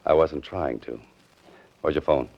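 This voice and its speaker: Deep-voiced wry writer on the run. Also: male voice, measured pace, vocal fry, heavily acted. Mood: dry, guarded. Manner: deadpan, wisecracking. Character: wry, cool writer on the run, wanted for murder. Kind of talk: 1940s radio detective drama acting. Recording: muffled old-time radio recording.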